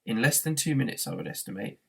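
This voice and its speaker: A man's voice: mid-range voice, animated, quick pace, creaky, pushed and bright, slightly rough, exaggerated voice. Man playing a flippant, unflappable alien in human guise. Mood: breezy. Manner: breezy, nonchalant. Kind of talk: storybook character voice.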